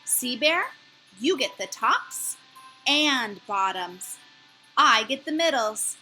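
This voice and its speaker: A woman's voice: fairly high pitch, expressive, takes it slowly, pushed and bright, faint music behind, heavily acted, rough recording. A woman voicing a cheerful, sly male hare farmer in a storybook reading. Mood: smug.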